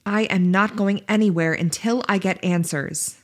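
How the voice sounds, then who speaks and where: Woman as a husky, determined investigator. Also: mid-range voice, steady tone, normal speed, lightly acted. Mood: firm. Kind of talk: audio drama acting.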